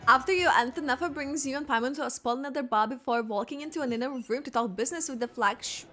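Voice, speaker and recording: A woman's voice: high-pitched, quick pace, pushed and bright. A woman doing casual gaming commentary as she reacts to a video game. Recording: game music behind. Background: video game music.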